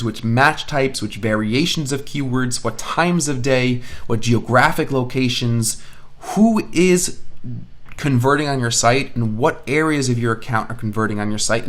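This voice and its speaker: A man with a mid-range voice talks through a software tutorial at a close mic. He sounds like an online instructor thinking aloud as he explains each step. Key phrases come back in repeated patterns for emphasis. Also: medium pitch. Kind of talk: informal screencast software tutorial.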